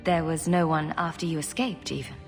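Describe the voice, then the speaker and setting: A woman playing a caring, steady mage heroine in a fantasy video game, speaking earnestly and gently. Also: low voice, fairly quick. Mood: gentle, curious. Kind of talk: dramatic video game dialogue. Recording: reverberant sound with faint music.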